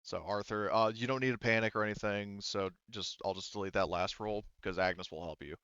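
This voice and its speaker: A man's voice: on the low side, steady tone, brisk, fairly bright tone, smooth, no room sound, muffled recording. A man casually running a tabletop roleplaying game as game master, explaining rules informally and thinking aloud.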